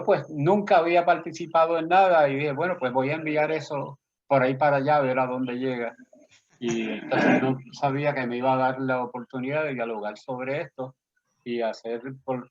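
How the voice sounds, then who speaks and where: A man with a Puerto Rican accent, a poet and guest, speaking earnestly and persuasively in a conversational interview. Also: medium pitch. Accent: Puerto Rican Spanish. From Puerto Rico. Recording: slightly dull lo-fi audio.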